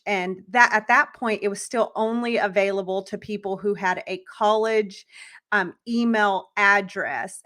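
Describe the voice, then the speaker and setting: A woman in her thirties hosting an interview, speaking in a reflective, steady and articulate way. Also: mid-range voice. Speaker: host. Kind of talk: conversational interview.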